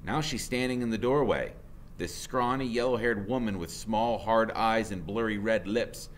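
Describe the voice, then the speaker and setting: A man narrating a first-person story aloud in an expressive, dramatic way. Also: on the low side, normal speed, a little hiss or hum. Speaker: audiobook reader, teacher.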